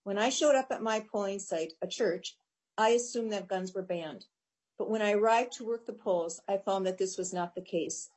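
A woman with an American accent reads prepared testimony in a measured, formal way. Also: mid-range voice, a little mellow, low-quality recording. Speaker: poll worker testifying at a hearing.

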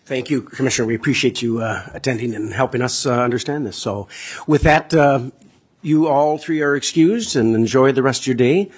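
Male committee chair, folksy and halting, husky tone. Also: mid-range voice. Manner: halting, many "uh" fillers, folksy. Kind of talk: chairing a legislative hearing.

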